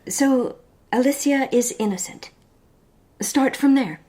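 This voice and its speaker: An actress playing a sharp-witted young society lady detective in a period radio drama, composed, formal and probing. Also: sits high, slow. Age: young adult.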